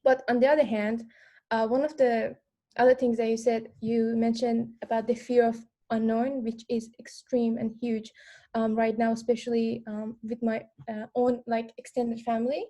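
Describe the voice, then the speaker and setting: Young female speaker from Afghanistan, English second language. Slightly high, mellow voice, hesitant phrasing, informal panel delivery. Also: flat delivery. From Afghan, lived in Iran. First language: not English. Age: young adult. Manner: halting, repeats words, frequent "like" and "you know". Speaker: panel speaker, former refugee. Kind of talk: informal panel talk, personal account.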